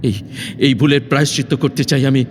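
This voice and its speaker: A man acts a resolute, earnest young prince of a fairy-tale radio play. His mid-pitched voice carries formal, dramatic phrasing and sits in long reverb with faint noise behind it. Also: steady tone, quick pace, lightly acted. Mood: remorseful, determined. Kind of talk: Bengali audio drama acting.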